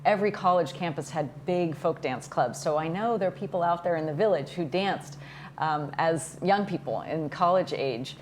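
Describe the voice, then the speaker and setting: A woman's voice: lowish voice, normal speed, slight background noise. A woman speaking as an interview guest, informally explaining a club's activities. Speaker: dance club representative and guest.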